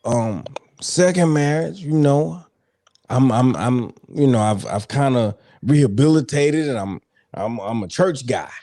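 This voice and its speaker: A man's voice: medium pitch, expressive, a little slow, dark tone, dry recording, studio quality. A man in his forties telling candid stories about his past marriages in a casual podcast chat. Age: 40s. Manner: candid storytelling, laid-back. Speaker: podcast guest.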